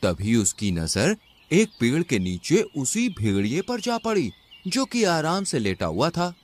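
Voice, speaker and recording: Lively male storybook narrator. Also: medium pitch, a little slow. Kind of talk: animated scripted storybook narration.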